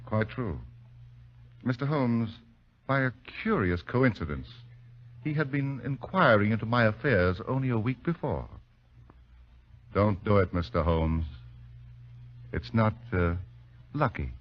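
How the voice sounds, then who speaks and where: An old, muffled radio recording of an aristocratic villain. He speaks low, with wide, gliding intonation, silky and condescending, every polite phrase edged with menace. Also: lively intonation, a little slow, pauses often. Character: suave, menacing aristocratic male villain, smugly amused. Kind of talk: 1940s radio drama acting.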